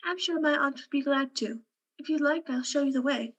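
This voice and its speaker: Young woman as a high-voiced, polite country girl. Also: brisk, lo-fi sound, lightly acted. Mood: shy, polite. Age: young adult. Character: shy, polite eighteen-year-old country farm girl. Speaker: student actor. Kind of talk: radio play acting.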